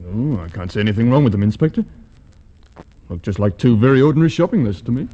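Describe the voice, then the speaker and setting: An actor playing a sceptical, matter-of-fact police sergeant with an Australian accent in a golden age radio drama. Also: male voice, mid-range voice, animated, fast talker, mellow, natural voice. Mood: doubtful, puzzled. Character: sceptical, plain-spoken male police sergeant in a crime drama. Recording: dull vintage radio drama recording.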